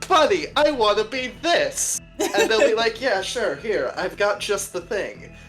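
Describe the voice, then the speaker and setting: A woman's voice: medium pitch, very animated, unhurried, a bit bright, soft background music. A woman chatting casually in a group Q&A as a podcast cast member, wry and blunt, swearing as she goes.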